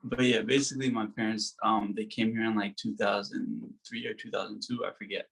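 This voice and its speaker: Young male podcast guest, gravelly, casual and halting. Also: on the low side, level tone, fairly bright tone, poor audio. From United States. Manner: hesitant, many ums and likes. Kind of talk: casual chat.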